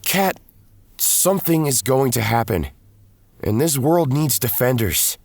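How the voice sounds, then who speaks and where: A man's voice: mid-range voice, animated, a little slow, a little mellow, dry recording. A man playing a weary, defensive former hero pulled back into duty in an audio drama, with music behind him. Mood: urgent, resolute. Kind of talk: audio drama acting with first-person narration.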